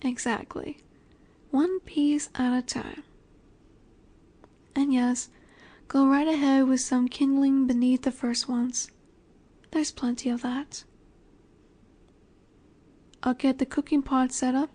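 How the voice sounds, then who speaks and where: A woman playing a dominant, nurturing snake-woman monster in an intimate roleplay audio, speaking in a gentle, coaxing way that often sinks toward a whisper. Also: high voice, flat delivery, slow pace, long pauses. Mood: calm, instructive.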